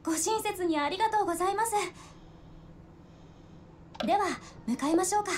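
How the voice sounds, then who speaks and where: Very high-voiced, polite young traveler. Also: female voice, racing. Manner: very courteous, formal speech. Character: polite, earnest young woman visitor to a village. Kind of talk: Japanese visual novel voice acting. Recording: game audio in a noisy livestream.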